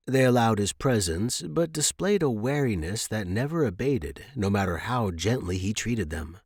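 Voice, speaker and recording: A man's voice: fairly low pitch, darkish tone, no room sound. Man narrating a story, very dry sound.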